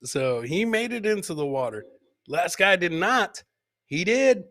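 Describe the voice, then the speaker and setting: A man's voice: high voice, very animated, a little slow, a bit bright. Casual man riffing on video clips. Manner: repeats his own lines, reading and answering live comments. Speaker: host of a live comedy podcast. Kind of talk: casual commentary on funny fail clips.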